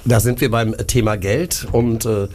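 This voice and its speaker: A man's voice: mid-range voice, steady tone, unhurried, darkish tone. A man interviewing a guest, working through his questions thoughtfully with hypothetical examples. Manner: thoughtful, poses hypothetical cases.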